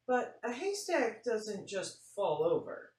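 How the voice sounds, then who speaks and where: A woman's voice: mid-range voice, very animated, takes it slowly, a little mellow, pitched above the performer's own voice. A woman voicing a curious, disbelieving mother who questions her child in a picture-book read-aloud. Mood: incredulous. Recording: roomy, faint noise, distant mic.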